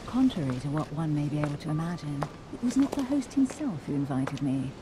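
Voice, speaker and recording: A woman's voice: sits low, expressive, brisk, background noise. A woman voicing a poised, aristocratic duchess in a video game, formal and refined. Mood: amused, gracious. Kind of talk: video game cutscene acting.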